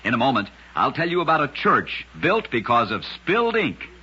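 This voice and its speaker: Husky, bright-voiced male radio narrator. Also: mid-range voice, big pitch swings. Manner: dramatic, emphatic delivery with deliberate pauses. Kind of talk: old-time radio scripted oddity narration. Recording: dull, lo-fi old radio recording.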